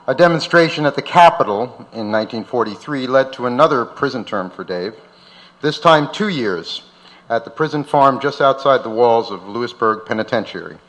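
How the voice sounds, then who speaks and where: A man's voice: mid-range voice, animated, normal speed, a bit bright, poor audio. A man narrating from a script aloud as the host of a live event.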